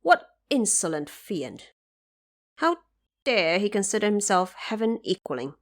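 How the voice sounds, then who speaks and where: A woman's voice: mid-range voice, very animated, unhurried. A woman voicing a proud, commanding young divine warrior lord in a mythological audio drama, speaking in a formal, declamatory, self-assured way. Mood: indignant.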